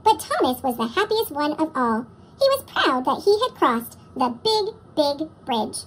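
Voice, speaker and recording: A woman's voice: very animated, unhurried. A woman reading a children's picture book expressively, her voice sped up with a chipmunk effect. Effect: sped-up chipmunk pitch shift. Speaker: storybook reader. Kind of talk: expressive children's read-aloud narration.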